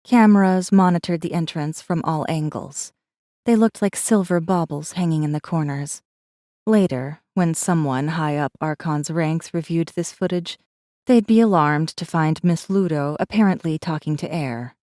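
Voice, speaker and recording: A woman reading a first-person story as an audiobook narrator, with measured, dramatic delivery. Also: sits low, dark tone, no echo, clean studio sound.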